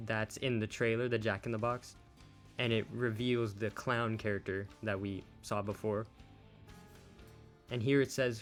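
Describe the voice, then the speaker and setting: Casual man over background music. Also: mid-range voice. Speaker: YouTube host giving gaming news. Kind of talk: casual news summary commentary over images. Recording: music behind the voice.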